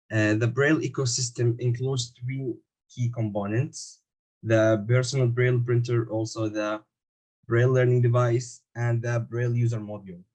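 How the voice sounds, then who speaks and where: Young man from Syria, prepared pitch. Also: a little mellow, rough recording. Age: young adult. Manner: reads a prepared pitch with some grammar slips. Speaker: engineering graduate presenting a project. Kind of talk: prepared online presentation.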